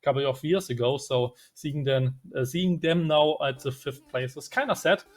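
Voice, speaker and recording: A man's voice: medium pitch, normal speed. A man co-casting an esports show, giving casual analysis with frequent hesitations. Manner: conversational, filler-heavy with frequent uhs. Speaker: esports co-caster.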